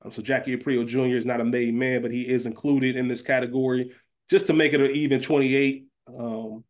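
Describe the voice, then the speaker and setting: A man speaks as a podcast co-host at a middle pitch. His delivery is casual and conversational, explaining things informally as he goes. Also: flat delivery, a little fast, a little mellow. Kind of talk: casual podcast discussion of a TV series.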